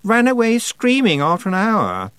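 A man reading aloud, voicing a sharp, condescending teenage girl witch with a needling manner. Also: high voice, huge pitch swings, normal speed, clear, resonant tone, dry recording, voice pushed up, heavily acted. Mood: cutting. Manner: snappish, needling.

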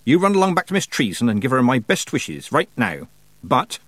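A stern old witch of the countryside, performed by a male narrator, growling and husky. She talks in brusque, folksy phrases that swing up and down with prickly authority. It is a storybook character, recorded close and dry. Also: mid-range voice, big pitch swings, a little fast, fairly bright tone, no room sound, pitched above the performer's own voice. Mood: brisk, softening. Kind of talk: audiobook character voice.

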